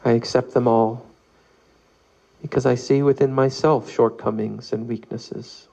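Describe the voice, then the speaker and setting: A man guides a meditation in a mellow voice with a creaky edge. He reads slowly and calmly, leaving long pauses between measured phrases. The recording sounds a little muffled. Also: medium pitch. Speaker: meditation leader. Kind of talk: guided meditation, read aloud.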